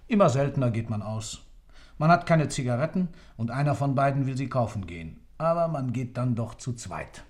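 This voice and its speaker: An actor playing an articulate man in a radio play, giving a detached, literary account in a measured manner. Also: medium pitch, expressive, a little mellow, close to an everyday voice. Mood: detached, descriptive.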